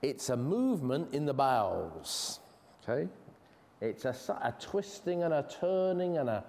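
English preacher in a reverberant hall. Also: male voice, mid-range voice, sing-song, darkish tone. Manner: earnest, conversational, with frequent restarts and slow emphasis. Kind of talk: sermon. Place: church.

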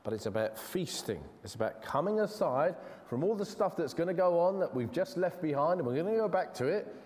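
A man with an English accent preaching a sermon in a church, earnest and conversational, slowing down to stress key words. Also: a little high, lively intonation, darkish tone. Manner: earnest, conversational, with frequent restarts and slow emphasis. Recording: long hall reverb.

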